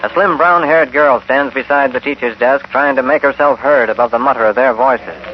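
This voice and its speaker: A man's voice: medium pitch, a little fast. Male announcer, 1950s radio western narration, dramatic, emphatic, music behind, muffled vintage recording.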